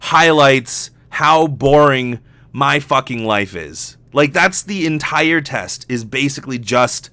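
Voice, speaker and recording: A man's voice: mid-range voice, expressive, slow, sharp tone, no echo. A man hosting a solo podcast in a casual, rambling, self-deprecating and joking way, swearing freely. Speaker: podcast host, voice actor.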